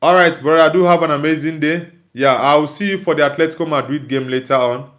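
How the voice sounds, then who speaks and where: A man's voice: fairly high pitch, a little fast, a bit bright. A man with a Nigerian accent hosting a casual football livestream, chatting and responding to viewers. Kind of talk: casual live chat, reading and answering viewer comments.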